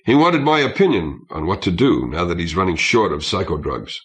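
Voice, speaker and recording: A man voicing a tired, thoughtful woman aboard a starship in an audiobook, speaking tentatively and softly. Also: deep voice, very animated, brisk. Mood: concerned.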